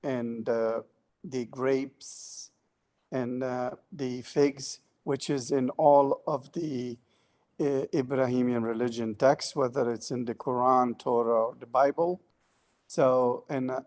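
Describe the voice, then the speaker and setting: A man from Lebanon and Sierra Leone, speaking as an artist on a panel about his work, halting often with filler sounds. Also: mid-range voice, a little mellow.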